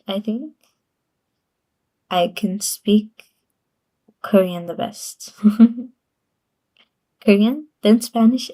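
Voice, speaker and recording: A woman's voice: mid-range voice, warm tone. A woman streamer chatting casually on a livestream, rambling and giggling often between sentences.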